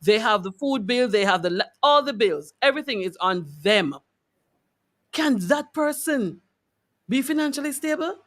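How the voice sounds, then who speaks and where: A woman hosting a live radio discussion in a conversational, deliberate way, rephrasing points for clarity. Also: a little high, animated, fairly bright tone. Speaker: radio show host.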